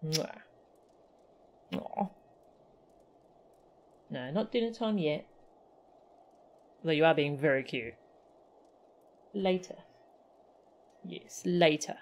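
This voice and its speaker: A woman's voice: low voice, animated, slow pace, pauses often, a little mellow. A woman streaming a game with casual, playful, chatty live commentary. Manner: playful, chatty, goofy sound effects. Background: faint game music.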